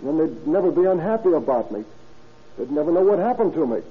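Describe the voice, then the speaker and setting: An actor playing a young murderer confessing to police, matter-of-fact and self-justifying, in a 1930s radio drama style. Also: male voice, a little high, very animated, fast. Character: young male murderer calmly rationalizing his confession to police. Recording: tinny, noisy 1930s radio recording.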